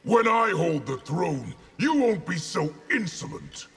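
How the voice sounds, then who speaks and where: An actor playing an arrogant, menacing rebel warlord in a fighting game, with an imperious, forceful delivery. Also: male voice, high voice, sing-song, a little slow, creaky, a crowd in the background, heavily acted. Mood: indignant, forceful. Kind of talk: video game fight intro dialogue. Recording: loud noise behind game dialogue.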